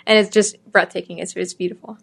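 A young woman chatting casually on a panel, quick and earnest with plenty of enthusiasm. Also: on the low side, fairly even intonation, gravelly, breathy edge. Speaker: student panelist. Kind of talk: casual chat.

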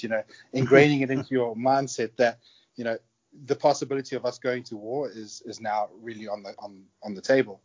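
A man's voice: medium pitch, dull audio. Chatty South African man telling stories. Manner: chatty, warm, chuckles often. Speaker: guest, security contractor. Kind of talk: casual interview storytelling.

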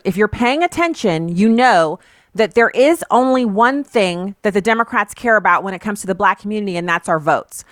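A woman giving informal political commentary in an opinionated, deliberate way with emphatic phrasing. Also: mid-range voice, fairly bright tone, dry recording, clean studio sound.

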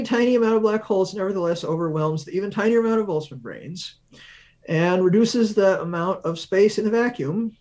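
A man giving a measured, deliberate explanation as an expert guest in a science interview, stressing key words. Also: high voice, darkish tone. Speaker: guest research professor, physicist.

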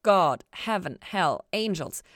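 A woman reading a story aloud, voicing an exhausted, shaken female detective who speaks in a halting, strained way. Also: fairly low pitch, expressive, fairly bright tone, no echo, voice pushed up, heavily acted. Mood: hesitant, searching.

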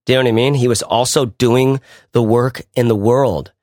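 A man in his thirties hosting a podcast, groggy and a bit deeper-voiced than usual, talking casually in a rambling, self-interrupting way. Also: steady tone, normal speed, darkish tone, dry recording, clean studio sound. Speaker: podcast host.

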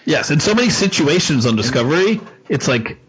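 A man with a husky, rough voice, co-hosting a casual fan discussion podcast. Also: on the high side, sing-song, a little fast, fairly bright tone. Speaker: podcast co-host. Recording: slightly dull, lo-fi audio.

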